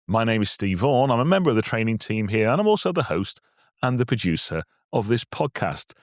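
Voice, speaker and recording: Guy hosting a podcast, bit croaky from a cold, talks quick, lowish voice, dry close mic. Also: very animated, no room sound. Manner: chatty, self-deprecating, fast-talking. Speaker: podcast host. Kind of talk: casual podcast chat.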